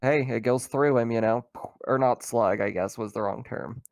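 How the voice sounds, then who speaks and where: Casual male game master, colloquial, mid-pitched, narrating and refereeing online tabletop play. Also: normal speed, vocal fry, a little mellow, dry recording, clean studio sound.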